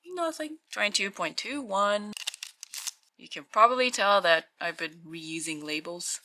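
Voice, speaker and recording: A woman with a croaky morning voice, speaking slowly with a bright, lively pitch. She muses and reads out numbers in a relaxed way while unboxing at a tabletop, with a faint hiss and some light clicks behind her. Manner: slow, musing, reads out weights. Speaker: channel host opening card packs. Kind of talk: casual hands-only unboxing commentary. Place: indoors at a tabletop.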